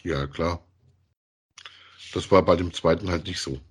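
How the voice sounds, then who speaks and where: A man, chatting casually as a podcast co-host, thinking aloud haltingly and repeating himself. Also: sits low, steady tone, breathy edge. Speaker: podcast co-host reviewing audio dramas. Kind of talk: casual chat, reviewing audio plays.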